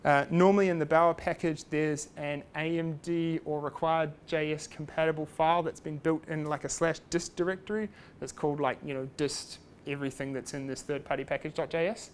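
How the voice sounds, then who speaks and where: A man's voice: on the high side, steady tone, a little fast, a little hiss or hum. A man giving a casual technical talk at a meetup, chatty and self-deprecating with lots of fillers. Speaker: meetup speaker. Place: meetup room.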